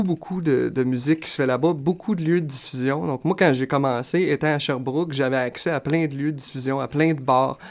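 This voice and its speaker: Young adult male musician, Quebec French accent, easygoing and reflective, loose conversational delivery. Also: fairly high pitch. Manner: relaxed, thoughtful, with many fillers and colloquial Quebec turns. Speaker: singer-songwriter guest. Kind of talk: casual interview answers.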